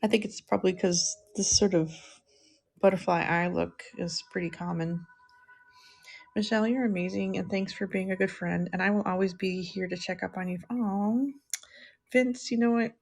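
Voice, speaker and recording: A middle-aged woman chatting softly and unhurriedly with viewers while she draws on a livestream. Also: medium pitch, steady tone, moderate pace, vocal fry. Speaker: live-streaming artist. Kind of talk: casual livestream chat while drawing.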